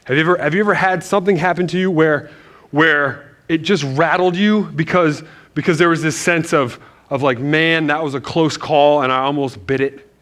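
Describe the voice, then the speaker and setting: A man from Northern Virginia preaching in a church, telling a story in a loose, animated, self-deprecating way with lots of restarts. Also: a little high, moderate pace. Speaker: pastor. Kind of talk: casual storytelling sermon.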